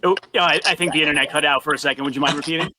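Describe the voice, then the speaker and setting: A man's voice: a little high, racing, pushed and bright, no echo. A man hosting an interview over a video call, friendly and curious, with frequent affirmations. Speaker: podcast host, interviewer.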